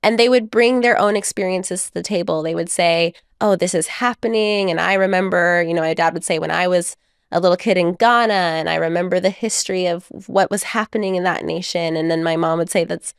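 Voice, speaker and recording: A woman's voice: lowish voice, clear, resonant tone, no echo. Thoughtful woman guest, dry close sound. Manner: reflective, measured storytelling with light laughs. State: married mother. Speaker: guest author. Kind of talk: conversational podcast interview.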